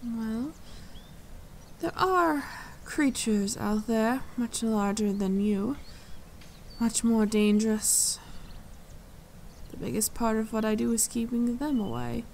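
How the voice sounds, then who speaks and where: A woman playing a regal, dryly amused and protective fae leader in an intimate ASMR fantasy roleplay, speaking in a measured, composed, gently condescending way. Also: fairly high pitch, a little hiss or hum. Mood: calm warning. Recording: forest ambience with birdsong. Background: birdsong and forest sounds.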